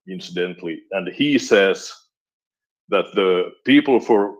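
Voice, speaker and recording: A man chatting casually on a live audio space, asking relaxed, curious questions. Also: low voice, muffled recording.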